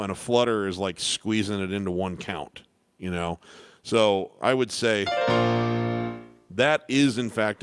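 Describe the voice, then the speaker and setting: A man with a deep baritone talks through piano questions in an easygoing, meandering way, often restarting his sentences. His voice is smooth and resonant, and the sound is close and dry with no room around it. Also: dry recording. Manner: relaxed, rambling with restarts and fillers. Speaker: piano teacher hosting live Q&A. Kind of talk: casual live-stream teaching.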